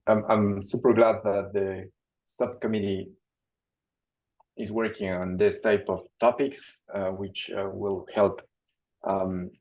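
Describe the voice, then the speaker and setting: A man from Ecuador, an assistant professor, introducing himself on a webinar panel, halting and often repeating his words over a video call. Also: on the low side, darkish tone. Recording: dull lo-fi video call audio.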